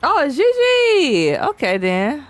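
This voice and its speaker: A woman's voice: high-pitched, wildly swooping pitch, slow pace, soft background music. A young woman streaming a game, chatting casually and reading chat aloud in slangy, playful talk. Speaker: VTuber streamer. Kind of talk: casual live-stream chat while gaming.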